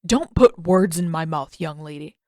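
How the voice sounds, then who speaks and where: A woman voicing a stern, proud old male master in a story reading, speaking in a formal, measured, condescending way. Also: low voice, a little mellow, dry recording, studio quality, voice pushed down, lightly acted. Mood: stern, dignified. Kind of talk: audiobook-style fan fiction character reading.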